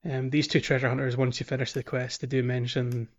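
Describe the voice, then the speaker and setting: A man's voice: mid-range voice, flat delivery, a little fast. Man podcasting with dull, lo-fi sound. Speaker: podcast host. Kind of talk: casual game playthrough podcast chat.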